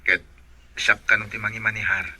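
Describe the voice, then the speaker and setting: A man's voice: lowish voice, flat delivery, normal speed, sharp tone. A man acting an affectionate young husband working abroad, with a Filipino accent, in a radio drama. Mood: apologetic, tender.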